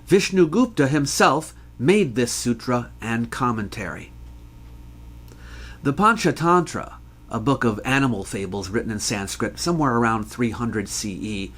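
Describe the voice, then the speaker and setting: A man giving scripted educational narration as a history host, in a measured, scholarly, explanatory manner. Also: medium pitch, a bit bright.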